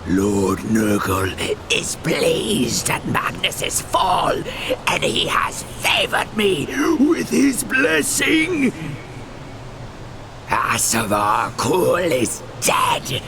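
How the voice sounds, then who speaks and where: An actor playing a fanatical cult leader who shouts praise to a plague god in a gravelly voice. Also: male voice, a little high, heavily acted. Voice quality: gravelly, ranting. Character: fanatical male Nurgle cultist leader proclaiming.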